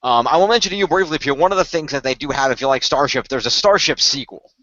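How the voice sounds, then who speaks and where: A man's voice: on the high side, fast, pushed and bright, no room sound, muffled recording. A man co-hosting a casual podcast, rambling on in detail with a fan's enthusiasm. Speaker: podcast co-host.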